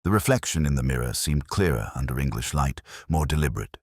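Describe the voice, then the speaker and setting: A man playing a weary, brooding police inspector who narrates a mystery in a measured, literary, melancholy manner. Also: very deep voice, lively intonation, no echo, studio quality. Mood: grave, pensive. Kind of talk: scripted first-person mystery narration.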